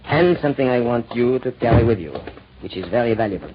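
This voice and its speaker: An actor playing a suave, secretive shopkeeper in a 1940s radio drama, courteous and conspiratorial. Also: male voice, medium pitch, expressive, quick pace, lightly acted. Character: suave, secretive French music-shop owner, male schemer. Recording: dull, noisy old radio recording.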